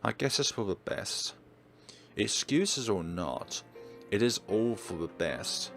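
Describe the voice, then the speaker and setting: An animated man hosts a let's play with a fairly low voice that swings widely in pitch. He muses aloud between passages of visual novel text that he reads out. Music plays clearly behind him. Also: slow.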